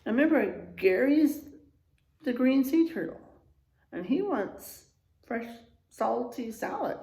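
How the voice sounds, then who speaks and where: Expressive woman reading aloud, slow and roomy. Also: on the high side, creaky. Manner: expressive, checks in with listeners. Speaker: teacher reading aloud to children. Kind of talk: children's storybook read-aloud with asides. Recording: roomy, echoing room sound.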